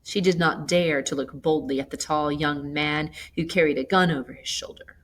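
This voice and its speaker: A woman reading a literary short story aloud as an expressive narrator. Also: low voice, normal speed, a little hiss or hum. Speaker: story reader.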